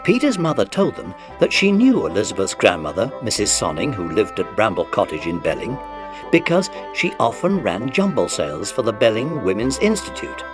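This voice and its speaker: A man narrating a children's story as a scripted audiobook, in an animated storytelling style. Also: fairly low pitch, moderate pace, over music.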